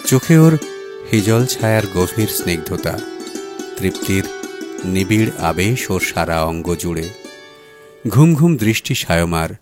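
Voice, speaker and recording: A man reads literary fiction as an audiobook narrator. His voice sits fairly low and moves expressively up and down, with music clearly playing underneath. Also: big pitch swings, unhurried, long pauses. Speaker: audiobook story reader. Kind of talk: dramatic literary narration of a short story. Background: background music.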